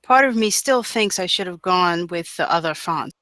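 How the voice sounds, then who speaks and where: A woman reading the part of a confident, brisk young mother and wife at a script table read. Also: lowish voice, animated, a bit bright, no room sound. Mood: affectionate.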